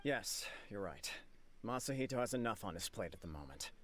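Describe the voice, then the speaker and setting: A man voicing an earnest, self-blaming young clan leader in a video game, formal, grave and measured. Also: fairly low pitch, animated. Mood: resolute. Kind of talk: dramatic game dialogue. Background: soft game music.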